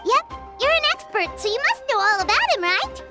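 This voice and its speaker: A woman voicing a tiny, excitable childlike fairy companion in a video game, squeaky and chirpy. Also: fairly quick. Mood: excited. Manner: chirpy, refers to self by name. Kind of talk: video game character acting. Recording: loud game music behind. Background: game music.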